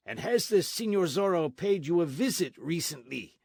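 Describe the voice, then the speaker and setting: Gruff blustering sergeant voiced by a man, loud demanding tone, dry close sound. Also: fairly high pitch, animated, normal speed, dry recording, pitched above the performer's own voice, heavily acted. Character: gruff blustering army sergeant, bold and rough.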